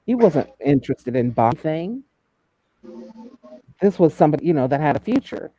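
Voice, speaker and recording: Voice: medium pitch, big pitch swings, brisk, warm tone, dry recording, lo-fi sound. Emphatic talker who repeats phrases, dry close sound. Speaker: panelist in a true crime discussion. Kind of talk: casual chat, speculating in a group call.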